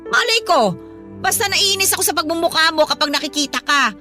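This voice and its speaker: A woman's voice: very high voice, brisk, sharp tone, soft background music. A woman playing a cranky, blunt grandmother who scolds her son's partner in a radio drama. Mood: irritated, scolding. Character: cranky, hostile mother-in-law, a grandmother, scolding at home.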